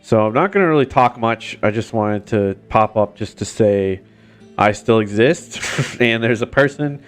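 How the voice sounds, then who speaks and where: Low-voiced sick male streamer, nasal and stuffy, loose hesitant chatter over quiet game music. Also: lively intonation, normal speed, smooth. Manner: rambling, hesitant, many fillers. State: nasal, recovering from illness. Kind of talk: casual gameplay commentary. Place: home gaming setup. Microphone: desk mic.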